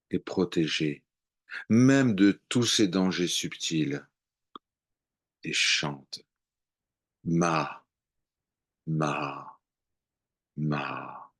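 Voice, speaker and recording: A man reciting devotional poetry in a measured, contemplative way, with long pauses between short phrases. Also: a bass voice. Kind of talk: slow devotional poem recitation.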